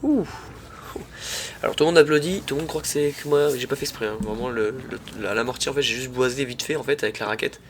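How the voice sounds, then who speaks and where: A young man with a head cold, casually commenting on his own tennis match in slangy, excitable talk to his viewers. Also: medium pitch, quick pace, slight background noise. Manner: slangy, excitable, talks to viewers as "les mecs".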